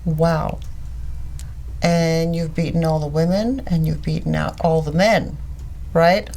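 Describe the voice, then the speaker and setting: Woman, lower register, effusive and emphatic interviewer for a conversational podcast. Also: fairly even intonation, slow, creaky, mellow, slight background noise. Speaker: podcast host.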